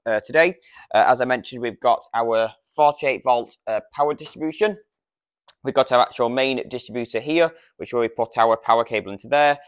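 A British man in his thirties giving an informal, step-by-step product demonstration in a practical, explanatory way, on a lapel mic. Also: mid-range voice. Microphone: lavalier lapel microphone.

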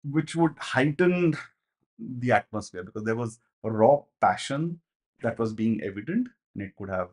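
A man, reviewing a film in casual, animated talk, with many fillers and restarts, in a small home studio. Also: mid-range voice, moderate pace. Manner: animated, gestures a lot, thinks aloud with many fillers and restarts. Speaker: movie reviewer.

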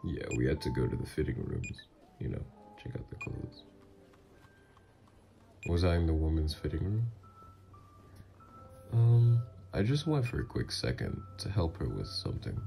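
A man's voice: rumbling low, sing-song, normal speed, vocal fry, pauses often, dark tone, soft background music, heavily acted. A man voicing a flirtatious, easily flustered, doting mafia boss lover in a slightly nasal, hesitant ASMR roleplay. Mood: embarrassed, flustered.

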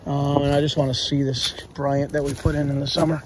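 A man in a mask talks viewers through a service call in plain, easygoing words, cursing now and then. His voice sits a little high, and faint background noise runs under it from a body camera. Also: flat delivery, a little fast. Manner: casual, swears, talks to viewers while working. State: wearing a mask. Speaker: HVAC technician. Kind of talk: casual ride-along vlog. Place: homes, boiler room, then a car.

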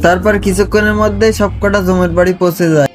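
A male narrator with a noticeably high voice recaps cartoons for laughs. He talks quickly in slangy, exaggerated, mocking phrases. Music plays clearly behind the scripted voice-over. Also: level tone.

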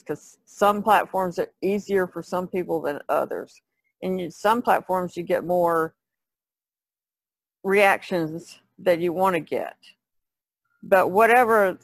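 A woman's voice: fairly low pitch, gravelly, no echo. A woman speaking casually as a panelist in a podcast discussion.

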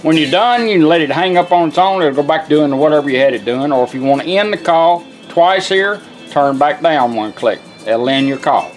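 A man's voice: on the high side, lively intonation, normal speed, few pauses, faint music behind. A man giving a casual, folksy, step-by-step product demonstration in an informal way. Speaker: vintage car radio restorer demonstrating. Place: indoor workshop bench.

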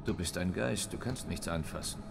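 An actor playing a grizzled middle-aged monster hunter who talks tersely and bluntly in a video game dub. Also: male voice, low voice, moderate pace, vocal fry. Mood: curt. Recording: reverberant with music underneath.

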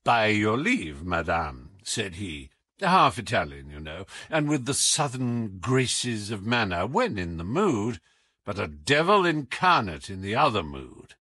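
A man's voice: fairly low pitch, big pitch swings, a little slow, pushed and bright, no echo, voice pushed down, full character voice. A man reading an audiobook as a whimsical, imperious detective with a British accent, theatrical, commanding and wry. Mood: animated, wry. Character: brilliant, whimsical, imperious male English detective.

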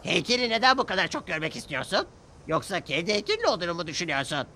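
A man's voice: sits high, wildly swooping pitch, very fast, pitched above the performer's own voice, heavily acted. A man voicing a grumpy, irritated male locomotive in a children's cartoon. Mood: teasing. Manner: grumbling, irritated. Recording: music behind the voice.

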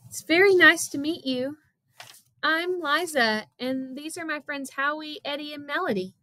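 A woman's voice: a little high, animated, normal speed, voice pushed up. A woman voicing a timid, uneasy young girl in a storybook, with an anxious, wavering delivery.

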